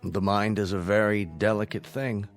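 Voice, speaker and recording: Actor as calm, low-voiced American psychiatrist. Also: male voice, close to an everyday voice. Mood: reflective. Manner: measured, probing questions. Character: calm, probing, reflective male psychiatrist. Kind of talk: radio drama acting. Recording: studio radio drama with faint music.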